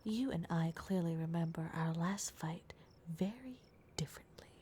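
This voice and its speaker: A woman's voice: lowish voice, moderate pace, dark tone, husky. A woman voicing a blunt, protective young werewolf girl in an ASMR roleplay, often dropping into a whisper. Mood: threatening. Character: gruff, protective young werewolf girl, exasperated but caring.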